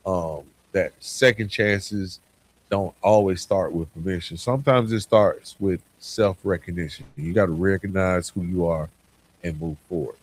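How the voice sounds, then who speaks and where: A man speaking informally and earnestly as a podcast host, reflecting solo in a conversational way. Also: low voice.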